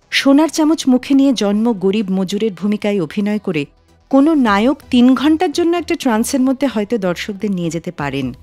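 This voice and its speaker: A woman hosting a radio show, reading a scripted narration in an expressive, storytelling way. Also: medium pitch, no echo. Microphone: close studio microphone. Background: soft music.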